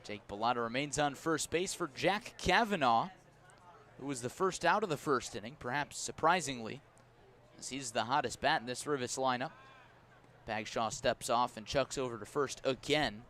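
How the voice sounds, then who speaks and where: A man calling live baseball play-by-play for radio from an outdoor ballpark, in measured, descriptive short phrases. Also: medium pitch, fairly bright tone. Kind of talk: live radio sports commentary.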